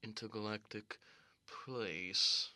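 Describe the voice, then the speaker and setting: Man playing suave genteel inmate, slow pace, crisp clear voice, grandly polite, radio comedy. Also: lowish voice, fairly even intonation, bright, forceful tone, dry recording, pitched below the performer's own voice, full character voice. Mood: suave, welcoming. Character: genteel, leisurely prisoner showing a newcomer around. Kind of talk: improvised radio drama comedy.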